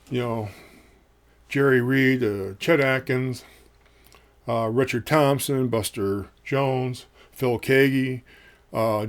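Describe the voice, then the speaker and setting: A man in his fifties with a low voice, reviewing a guitar in casual, rambling talk full of ums, recorded in a small home studio. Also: a little mellow. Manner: rambling, folksy, many ums and uhs.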